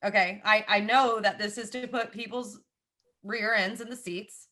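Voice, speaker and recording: Bright-voiced Southern American woman. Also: mid-range voice, normal speed. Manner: animated and opinionated, with frequent asides and emphatic repetition. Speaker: podcast guest. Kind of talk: casual podcast chat.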